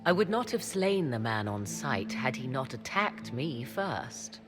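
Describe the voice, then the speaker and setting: A sly, low-voiced court witch. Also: very animated, full character voice. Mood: cool. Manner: arch, archaic, purring and measured. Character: sly, mysterious adult female witch advisor. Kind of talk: video game dialogue. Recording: game audio with music underneath.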